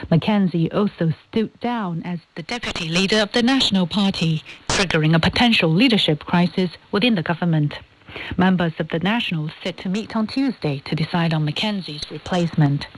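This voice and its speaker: Lower-pitched woman reading radio news. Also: a little hiss or hum, low-quality recording. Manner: formal, measured delivery. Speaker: news reader. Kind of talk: scripted radio news bulletin. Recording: shortwave radio broadcast.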